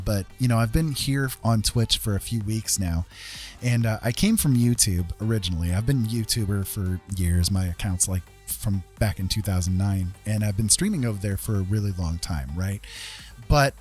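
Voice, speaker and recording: A man casually chatting as a livestream host, earnest and encouraging, talking in a halting way with pauses. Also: fairly low pitch, a little mellow, soft background music. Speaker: livestream host and digital artist.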